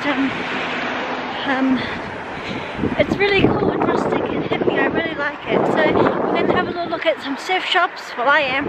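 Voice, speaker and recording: A woman's voice: high voice, highs cut off. A young woman vlogging to a handheld camera, chatting casually and rambling with many ums and small laughs, first out in a windy town and then at home. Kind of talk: casual vlog chat. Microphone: handheld camera at arm's length.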